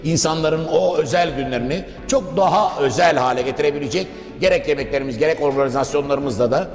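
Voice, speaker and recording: Male TV interviewer, brisk and formal, slightly high voice, music behind. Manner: wordy, formal, addresses viewers and guest politely. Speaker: interviewer, TV host. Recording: echoey reverberant room, music behind. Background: background music.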